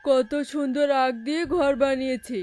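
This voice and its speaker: Woman playing a high-voiced wicked, greedy hunter. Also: steady tone, moderate pace, a little mellow, a little hiss or hum, close to an everyday voice. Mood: scheming. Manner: gloating, scheming. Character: wicked greedy hunter menacing little birds. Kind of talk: cartoon villain acting. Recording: faint music behind the voice.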